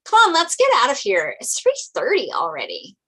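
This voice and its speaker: Woman doing teasing best friend waitress, higher bright voice, blunt casual gossip, table read. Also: wildly swooping pitch, a little fast, slightly rough, full character voice. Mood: breezy reassuring.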